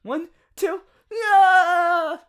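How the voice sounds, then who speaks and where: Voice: very high voice, extremely slow, a bit bright, full character voice. A performer playing a playful, anxious young male rabbit hero boyfriend in an ASMR audio roleplay, with a hesitant, giggly manner. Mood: cheerful.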